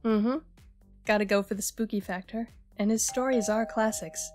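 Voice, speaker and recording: A woman's voice: mid-range voice, steady tone, moderate pace, darkish tone, faint music behind, natural voice. A woman playing a good-natured, bookish young partygoer in a naturalistic audio drama. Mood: amused.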